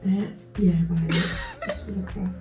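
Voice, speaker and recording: A woman's voice: mid-range voice, wildly swooping pitch, moderate pace. A woman in casual, joking studio chat as she helps out with sound-effect recording. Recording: roomy echo, guitar music behind.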